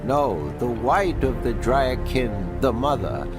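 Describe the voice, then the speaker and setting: A man voicing an ancient, wise being of a magical people in a fantasy game, speaking in a formal, deliberate, solemn way. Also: medium pitch, sing-song, over music. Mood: grave, informative. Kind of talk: fantasy game character dialogue.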